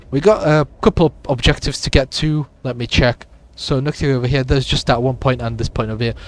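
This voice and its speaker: A man with a sick, raspy voice casually comments on his gameplay, rambling along with lots of fillers. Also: medium pitch. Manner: rambling, with many fillers and restarts. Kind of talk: casual let's-play commentary.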